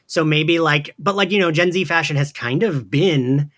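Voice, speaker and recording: A man's voice: fairly high pitch, normal speed, fairly bright tone. A man hosting a podcast in casual chat, informal and sweary, prone to giggling. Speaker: podcast host.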